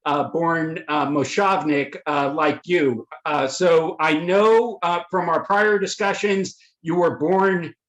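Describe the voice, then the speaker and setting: A man hosting a webinar, introducing the panelists in a halting way, with many fillers and restarts, over a video call. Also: fairly high pitch, fairly even intonation, a bit bright. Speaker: webinar host and moderator. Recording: echoey room audio.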